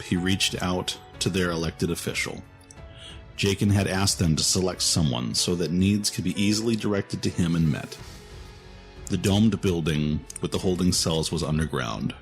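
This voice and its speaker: Deep-voiced male story narrator.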